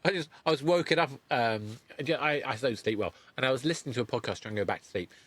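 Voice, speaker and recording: A man hosting a casual podcast interview, speaking haltingly and rephrasing his thoughts as he goes. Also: medium pitch, a bit bright, husky, no echo.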